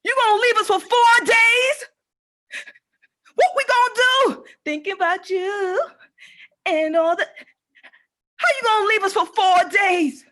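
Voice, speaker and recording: A woman plays a lonely, melodramatic young woman. Her voice is extremely high and piercingly bright, and she pleads slowly, repeating herself in a comic monologue. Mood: distraught. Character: lonely, dramatic young woman hooked on online parties.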